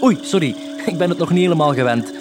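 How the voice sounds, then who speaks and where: A woman's voice: low-pitched, lively intonation, fast, over music. A woman playing a timid young outlaw gang member in a comedy radio play, nervous and pleading. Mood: uneasy.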